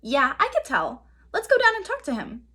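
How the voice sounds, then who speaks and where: A woman reading a storybook as a bold, friendly, excited young girl who speaks eagerly. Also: sits high, normal speed, voice pushed up, heavily acted. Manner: eager, calling out and exclaiming.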